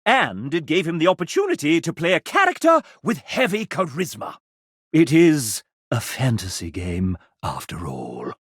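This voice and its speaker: Casting: flamboyant game-show gentleman for a video game, sardonic and pompous, highish pitch, hugely swooping delivery. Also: no echo, clean studio sound, full character voice. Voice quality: animated theatrical delivery. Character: sassy, flamboyant gentleman host, pompous and playful. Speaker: game show style host and narrator. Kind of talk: theatrical video game narration.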